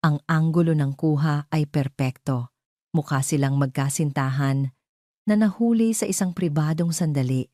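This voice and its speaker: A woman's voice: low-pitched, moderate pace, warm tone, no echo, clean studio sound. A woman with a Filipino accent narrating a scripted dramatic story.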